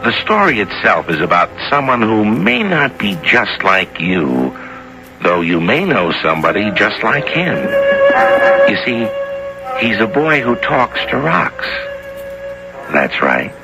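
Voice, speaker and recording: A man with a deep, low-pitched voice narrates as host of a seventies radio drama anthology. He addresses the listener directly in measured storyteller fashion. Prominent music plays behind him on an old broadcast recording. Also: big pitch swings, rough recording.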